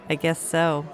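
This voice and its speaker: A woman acting a guarded, reflective young storyteller in a fantasy audio drama. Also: deep voice. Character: woman tavern storyteller, twenties, recalling a hard youth.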